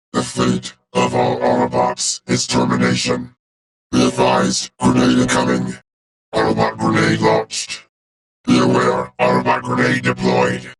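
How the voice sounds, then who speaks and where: A man voicing a cold, loyal robot warrior for a video game, speaking in a clipped, formal, deadpan way through a robotic filter. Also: lowish voice, vocal fry, full character voice, poor audio. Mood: cold approval, then cold, alert. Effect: robotic vocoder filter.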